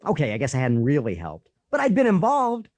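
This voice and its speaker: A man narrating a children's story in the first person, with an animated, boyish storytelling manner. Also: on the high side, brisk, dry recording. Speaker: audiobook narrator.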